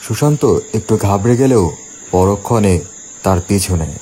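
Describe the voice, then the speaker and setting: Low-voiced man narrating a horror story. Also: animated, moderate pace, dark tone, husky.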